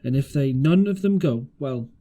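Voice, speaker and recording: Grave, firm male ship's captain, read by a man, plain-spoken storybook commander with animated intonation. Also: mid-range voice, moderate pace, natural voice. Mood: resolute, scheming. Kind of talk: storybook character reading.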